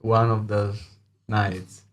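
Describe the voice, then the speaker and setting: A male podcast host who speaks English as a second language, asking questions in a halting way with many restarts. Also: lowish voice, level tone. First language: not English. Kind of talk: casual interview questions.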